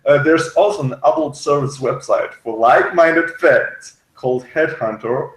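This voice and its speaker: A man in casual review chat as a co-host, speaking in a hesitant, halting way. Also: on the high side, echoey room, low-quality recording. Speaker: podcast co-host reviewing a TV series. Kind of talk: casual review chat, partly reading notes aloud.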